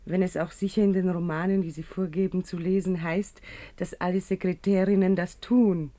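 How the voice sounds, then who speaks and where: Loyal secretary, thirties, woman acting. Soft dark timbre, formal, guarded delivery, 1950s German radio drama. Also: lowish voice, steady tone, normal speed, close to an everyday voice. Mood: indignant, cool. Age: 30s. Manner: formal, guarded, curtly correct.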